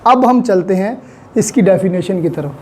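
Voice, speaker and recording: A man with a Pakistani Urdu accent giving a recorded law lecture, spelling out definitions slowly and deliberately. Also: sits high.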